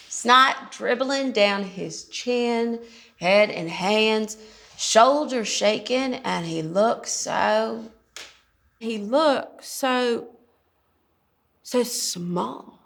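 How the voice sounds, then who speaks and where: Young actress as weary abused daughter, gravelly creak, unhurried halting monologue. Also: female voice, fairly high pitch, fairly bright tone, full character voice. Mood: halting, pained. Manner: halting, deliberate storytelling. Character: abused daughter, weary and protective, recounting trauma. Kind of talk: dramatic stage-style monologue.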